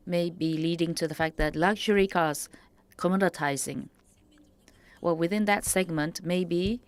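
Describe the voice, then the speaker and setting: Woman interpreter, smooth clear voice, lowish pitch, careful measured phrasing. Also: no room sound. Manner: measured, slightly stilted phrasing. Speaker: simultaneous interpreter. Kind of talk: interpreting a panel discussion.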